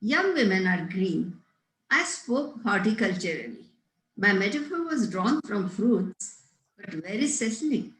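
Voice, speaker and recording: A woman's voice: mid-range voice, big pitch swings, measured pace. A woman reading the part of a prim, moralizing middle-aged governess with emphatic, preachy delivery, in a roomy-sounding space. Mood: coyly lecturing. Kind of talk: amateur table read of a stage comedy. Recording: roomy echo.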